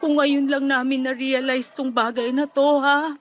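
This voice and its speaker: A woman's voice: sits high, little pitch movement, unhurried. A woman playing a tender, remorseful mother of grown children in a radio drama, pleading and emotional. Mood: repentant, earnest. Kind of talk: Tagalog radio drama acting. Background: background music.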